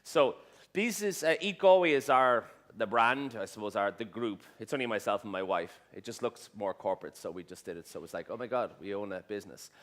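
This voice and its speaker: A man's voice: lowish voice, a little fast, a bit bright. A middle-aged Irish man, a restaurateur, giving a conference talk with many fillers and restarts and a dry sense of humour. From Ireland. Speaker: restaurateur and chef speaking at a conference. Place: conference hall stage.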